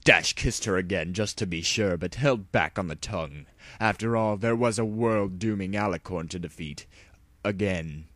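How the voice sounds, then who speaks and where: Low-voiced man giving a theatrical reading. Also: moderate pace, a bit bright, no echo. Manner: mock-serious, theatrical. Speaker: narrator. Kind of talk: dramatic audiobook-style reading of fan fiction.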